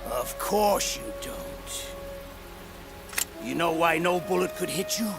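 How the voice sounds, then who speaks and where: Gloating, gravelly old villain. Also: male voice, on the high side, expressive, loud music behind the voice, full character voice. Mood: mocking, gloating. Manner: theatrical, mocking, drawn-out delivery. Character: sneering old male villain, gloating, theatrical traitor. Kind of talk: dramatic video game voice acting.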